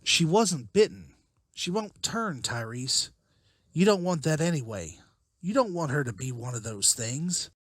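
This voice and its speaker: A man's voice: a little high, very animated, a little slow, a bit bright, no echo, clean studio sound, exaggerated voice. A man playing a firm, practical leader of survivors in a motion comic drama. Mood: firm, pleading. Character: decisive, level-headed male leader of survivors.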